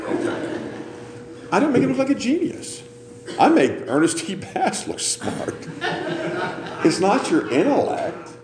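A man preaching a sermon to a church congregation in a conversational, self-mocking, teasing manner. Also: mid-range voice. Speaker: pastor. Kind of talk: sermon with joking asides. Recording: roomy hall with faint ambience.

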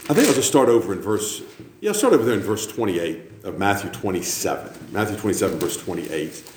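Animated preacher in a roomy church. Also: male voice, lowish voice, a little fast, slight background noise. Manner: conversational, self-mocking, teasing. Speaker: pastor. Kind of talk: sermon with joking asides. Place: church sanctuary with congregation. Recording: roomy hall with faint ambience.

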